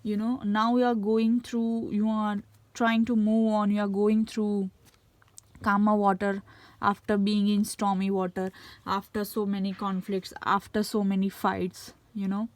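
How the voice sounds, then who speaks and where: Woman, Indian English accent, has a cold, halting tarot reading, dry close sound. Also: medium pitch, little pitch movement, no echo. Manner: halting, many fillers and restarts. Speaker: tarot reader. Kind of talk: casual tarot reading to camera.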